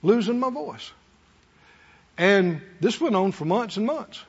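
A man's voice: high voice, lively intonation, slow, slightly rough. A middle-aged or older man preaches in a folksy, conversational way, telling homely stories and putting rhetorical questions to his congregation. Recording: muffled hall sound with long reverb.

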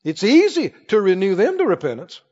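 Male preacher, high-pitched voice, emphatic Bible teacher firing short questions at the congregation; long church reverb. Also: huge pitch swings, a little fast, darkish tone, husky. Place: large church hall, before a congregation. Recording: muffled, echoing large hall.

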